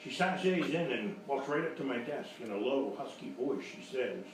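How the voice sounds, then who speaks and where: An actor playing a boastful, bumbling private eye, narrating in blustering deadpan in a parody of old radio detective drama. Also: male voice, medium pitch, moderate pace, close to an everyday voice. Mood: wry, flustered. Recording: very echoey, distant, noisy live stage recording.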